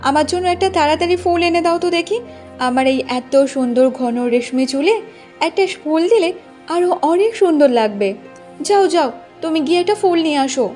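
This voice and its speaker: A woman's voice: very high voice, animated, fairly quick, darkish tone. A woman voicing a vain, bossy young village wife in a cartoon, demanding and boastful as she nags. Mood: demanding, whiny. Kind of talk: Bengali cartoon voice acting. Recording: music bed under the voice.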